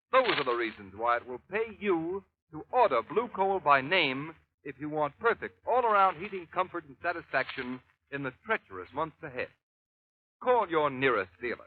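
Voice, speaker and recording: Male 1930s commercial announcer, very animated, emphatic selling, thin band-limited recording. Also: fairly high pitch, narrow, tinny audio, a little hiss or hum. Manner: emphatic, polished salesman delivery. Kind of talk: 1930s radio sales announcement.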